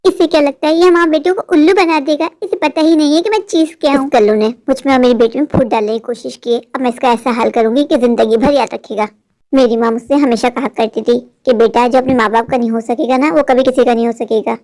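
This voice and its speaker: A sharp-tongued cartoon mother, put on by a voice actor with a very high, quick voice. She talks sweetly to others and slips into sly, threatening asides. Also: steady tone, natural voice. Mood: scheming. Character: scheming middle-aged mother, sharp-tongued. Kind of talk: cartoon voice acting.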